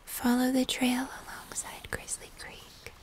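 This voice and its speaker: A young woman playing a gentle, mysterious moth-human hybrid in fantasy ASMR, speaking in soft, breathy whispers. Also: high-pitched, monotone. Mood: gentle, guiding. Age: young adult. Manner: slow, hushed, mysterious, gentle. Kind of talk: cinematic fantasy ASMR roleplay.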